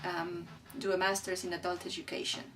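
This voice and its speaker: Lower-pitched, thoughtful woman. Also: level tone, fairly bright tone. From Argentina. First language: Spanish. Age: middle-aged. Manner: thoughtful, halting, searching for words. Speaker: artist being interviewed. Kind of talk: reflective interview talk about own work. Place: indoors beside a displayed print. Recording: distant mic, light background noise.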